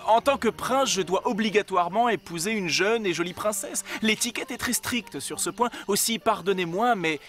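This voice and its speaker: A man's voice: high voice, normal speed, few pauses, a bit bright. A man voicing a young, well-mannered but haughty prince in a cartoon, courtly and flustered. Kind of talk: French cartoon dubbing.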